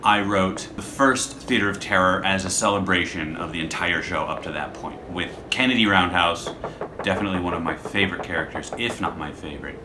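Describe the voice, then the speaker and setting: A man's voice: low voice, a little fast, background noise. A man hosting a podcast, explaining his show in a casual, rambling, self-deprecating way. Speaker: podcast creator and host.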